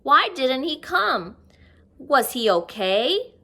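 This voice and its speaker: A woman's voice: high voice, very animated, slow, bright, forceful tone, a little hiss or hum, heavily acted. A woman voicing a worried, insistent young girl looking for a cat in a children's storybook, pleading and emphatic. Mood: worried, searching. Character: two worried, insistent young sisters seeking their cat.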